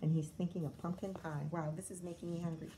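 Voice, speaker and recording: A woman's voice: fairly low pitch, steady tone, fast talker, dark tone, slight background noise, poor audio. A woman teacher reading a picture book aloud to young children, expressively, with chatty explanations and questions.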